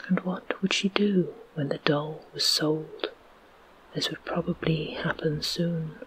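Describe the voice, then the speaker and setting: A storyteller reading a children's tale aloud in a soft, unvoiced whisper, slow and even. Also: medium pitch, slight background noise, low-quality recording.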